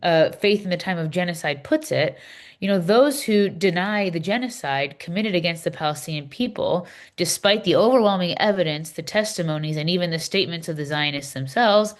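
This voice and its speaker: Woman acting as earnest Palestinian woman, low-pitched. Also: lively intonation, fairly quick, close to an everyday voice. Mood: indignant, grave. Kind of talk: readers theater scripted dialogue.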